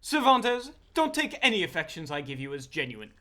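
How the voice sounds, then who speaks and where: A man playing a jealous, wounded vampire in a dark comedy audio drama, prim and theatrically indignant. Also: on the high side, sing-song, moderate pace. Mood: sulky, cold. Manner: indignant, pouting, melodramatic.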